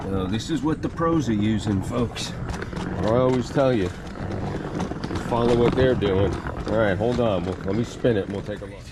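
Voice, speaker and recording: A man's voice: lowish voice, heavy background noise. A man chatting in a folksy, casual way to viewers as he demonstrates gardening equipment hands-on. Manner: folksy, chatty, talking while working. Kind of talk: casual hands-on product review talk to viewers.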